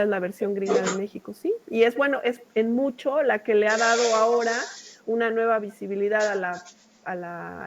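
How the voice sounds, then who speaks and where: A woman's voice: medium pitch, muffled recording, slight background noise. A middle-aged woman with a Mexican accent teaching a class in an informal, discursive, conversational way, often checking in with short tag questions. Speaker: university lecturer.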